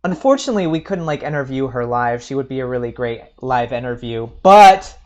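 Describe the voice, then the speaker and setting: A man's voice: medium pitch, sing-song, a little fast, a little mellow, dull audio. A man hosting a casual interview podcast, talking in an effusive, informal and emphatic way.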